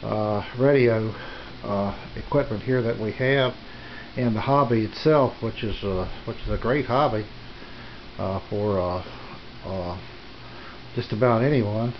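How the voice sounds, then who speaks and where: A man giving a casual, unscripted tour as a hobbyist host, rambling and halting, recorded on a handheld camera in a small home room. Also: lowish voice. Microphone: handheld camera's built-in mic. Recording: muffled camcorder audio with background hiss.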